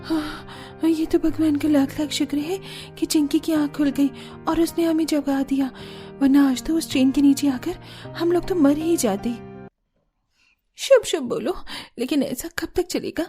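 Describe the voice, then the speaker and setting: A woman voicing a worried young daughter-in-law in a cartoon drama, earnest and plaintive, with a soft voice. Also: sits high, steady tone, a little slow, faint music behind.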